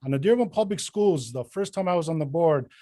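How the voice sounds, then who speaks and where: A mature man making an emphatic, self-promoting campaign pitch as a candidate at a forum. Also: lively intonation, fairly quick, warm tone, no echo, studio quality. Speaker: mayoral candidate, realtor.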